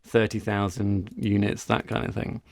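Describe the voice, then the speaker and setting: A man's voice: on the low side, flat delivery, moderate pace, gravelly, darkish tone, dry recording, clean studio sound. A man co-hosting a podcast, telling a story in casual, rambling chat with frequent restarts. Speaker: podcast co-host.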